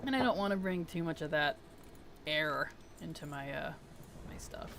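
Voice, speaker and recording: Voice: medium pitch, expressive, normal speed, vocal fry, a little hiss or hum. Streamer with a weak, worn-out voice. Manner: soft-spoken, reads chat aloud and mutters to self. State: weak, strained voice. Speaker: game streamer. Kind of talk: casual live-stream chat while playing.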